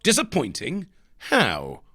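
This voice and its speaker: A man's voice: on the high side, wildly swooping pitch, takes it slowly, bright, forceful tone, voice pushed up, exaggerated voice. A man voicing a pompous, cheerily confident male astronaut leader with a grandiose, intoning manner in an audiobook. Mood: aghast.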